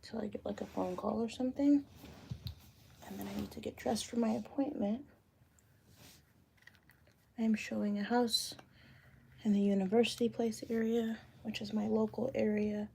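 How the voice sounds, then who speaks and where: A woman in her late thirties talks into her phone at home, loose and chatty as she answers viewers' questions. Her voice is mellow and dark, with a gravelly fry running through it. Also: medium pitch, moderate pace, pauses often, a little hiss or hum. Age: 30s. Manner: chatty and relaxed, thinking aloud while doing her hair. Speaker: realtor livestreaming a Q and A. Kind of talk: casual livestream chat. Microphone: phone camera.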